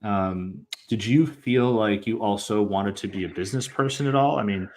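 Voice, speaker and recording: Male podcast host, lowish voice, casual, rambling, lots of fillers. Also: moderate pace, a little mellow. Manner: rambling questions full of "you know" and restarts. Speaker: podcast host and immigration lawyer. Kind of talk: casual interview hosting.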